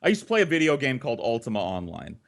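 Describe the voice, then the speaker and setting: A man's voice: medium pitch, wildly swooping pitch, fast talker, vocal fry. A man hosting a casual talk show, telling stories and bantering with crude, profane teasing. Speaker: storytelling talk show host. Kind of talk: casual group banter and storytelling.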